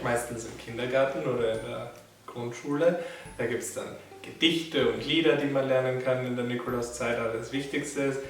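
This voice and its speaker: A young man from Austria with an Austrian German accent, chatting casually and laughing between phrases. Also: mid-range voice. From Graz, Austria. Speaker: guest. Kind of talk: casual chat. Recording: echoey room, mic at a distance, faint music.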